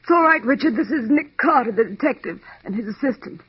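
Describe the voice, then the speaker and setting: A woman's voice: on the high side, animated, brisk, husky. An actress playing a wary, emotional young woman in an old radio melodrama. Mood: composed. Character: wary, distraught young woman, murdered man's sister. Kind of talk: 1940s-50s radio melodrama acting. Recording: thin, phone-like vintage radio recording.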